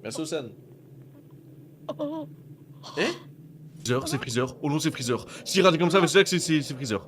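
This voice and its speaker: A man's voice: a little high, expressive, moderate pace, background noise. A man giving a casual, slangy, exclamatory live reaction as an anime streamer, often repeating himself.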